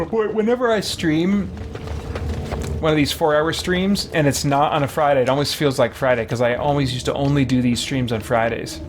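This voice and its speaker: A man's voice: fairly high pitch, moderate pace, noisy background. A man streaming a game, chatting casually and thinking aloud as he plays. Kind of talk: casual live game commentary. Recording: game music behind the voice.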